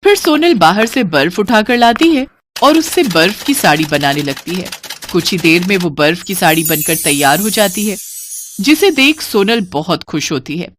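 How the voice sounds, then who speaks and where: A woman's voice: on the low side, lively intonation, normal speed, a little hiss or hum. A woman narrating a scripted cartoon story as the storyteller.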